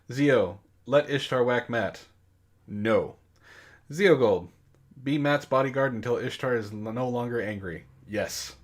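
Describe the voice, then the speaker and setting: A male podcast host with a medium-pitched voice. He chats casually and comedically, bantering with his co-hosts in a mock-exasperated tone. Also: slow, pauses often. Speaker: book club host.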